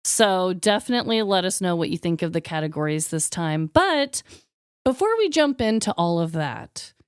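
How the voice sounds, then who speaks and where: A woman co-hosting a casual podcast. Her pitch swings in a lively, effusive way and her talk is informal. The sound is dry, with no room around it. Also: moderate pace, smooth, no echo. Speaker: podcast co-host.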